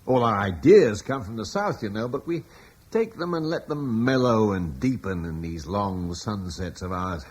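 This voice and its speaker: An actor playing a thoughtful, idealistic older father, speaking in a reflective, persuasive way with a husky voice. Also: fairly low pitch, lively intonation, moderate pace, a little mellow. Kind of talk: radio drama acting.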